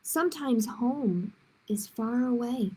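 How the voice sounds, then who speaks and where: A woman's voice: on the high side, slow, dark tone, a little hiss or hum, poor audio. A woman hosting a children's storytime, reading picture books aloud in simple phrases with casual asides to her listeners. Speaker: children's librarian, storytime host.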